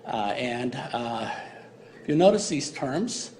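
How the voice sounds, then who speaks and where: A man's voice: medium pitch, sing-song, slow pace, darkish tone, a little hiss or hum. A man giving a university embryology lecture in a casual, joking way, with many fillers. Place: lecture hall.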